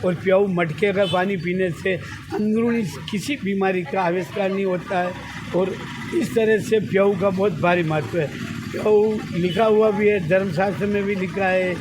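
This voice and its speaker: A man speaks slowly outdoors, explaining a religious custom in a devout tone. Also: high-pitched, fairly even intonation, noisy background. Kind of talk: interview comment.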